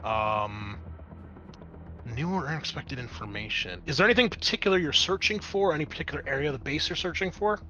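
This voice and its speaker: A man's voice: fairly high pitch, animated, fairly quick, bright, forceful tone, muffled recording, slight background noise. A man working as game master, narrating a tabletop roleplaying game in a casual, off-the-cuff way.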